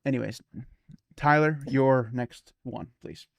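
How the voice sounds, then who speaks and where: A man's voice: medium pitch, slow, vocal fry, no room sound, clean studio sound. A man of forty chatting casually as a podcast co-host, rambling with many restarts and easy laughs. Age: 40s. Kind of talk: casual tech chat.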